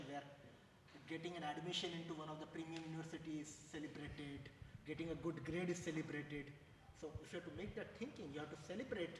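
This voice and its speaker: A man's voice: a little high, distant mic. Male panelist, emphatic and repetitive for effect, off-mic in a roomy hall with faint noise. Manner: emphatic, rhetorical questions and repetition. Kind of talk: panel discussion, advocacy.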